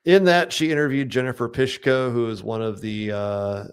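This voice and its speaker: A man's voice: mid-range voice, steady tone, normal speed, clean tone. A man co-hosting a podcast, plainly recounting articles and reading out comments, with many ums. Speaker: podcast co-host. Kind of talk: casual chat.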